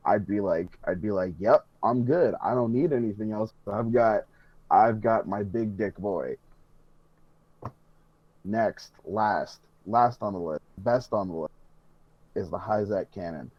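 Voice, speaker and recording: Low-voiced man, dull dry recording. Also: measured pace, slightly rough, no room sound. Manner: emphatic, profane, rambling enthusiasm. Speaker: podcast co-host. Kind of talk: casual enthusiast podcast chat.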